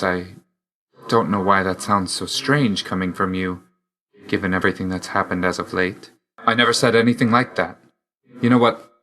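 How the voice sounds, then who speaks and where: An actor playing a brooding young god of death in a video game, speaking in a reserved, dry, measured and formal way. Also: male voice, sits low, fairly bright tone. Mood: irritated, flustered. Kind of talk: video game character dialogue.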